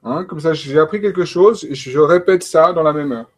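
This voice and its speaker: A man's voice: on the high side, moderate pace, slight background noise. Hesitant man coaching on learning. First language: French. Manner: hesitant, many fillers and restarts. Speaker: coach and trainer. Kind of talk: panel talk on learning.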